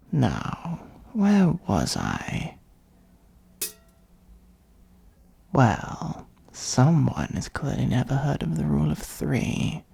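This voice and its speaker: A performer of unclear sex voicing an ancient, haughty dragon in a comedic fantasy ASMR roleplay, with a breathy, husky, gravelly voice and a sardonic, condescending, musing manner. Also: mid-range voice, warm tone, exaggerated voice. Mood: weary, condescending. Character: ancient gold-hoarding dragon, haughty, dryly exasperated.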